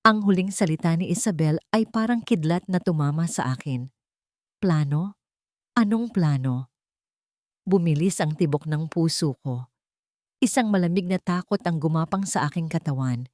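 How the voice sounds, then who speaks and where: A woman narrating a dramatic first-person story in an emotive, sorrowful, measured way. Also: lowish voice, animated, a little mellow, no room sound, studio quality.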